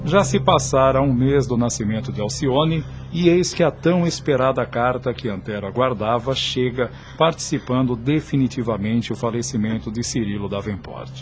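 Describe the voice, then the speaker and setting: A man's voice: mid-range voice, moderate pace, hardly any pauses, faint music behind. A man with a Brazilian accent giving dramatic, scripted narration for a radio soap opera.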